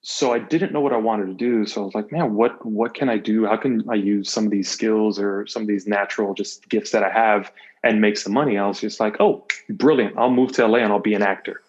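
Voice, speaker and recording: A man's voice: fairly low pitch, fairly even intonation, a little fast, darkish tone, muffled recording. A man speaking candidly as an interview guest, telling personal stories conversationally, repeating phrases for emphasis and voicing quotes. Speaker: podcast guest, former athlete and coach.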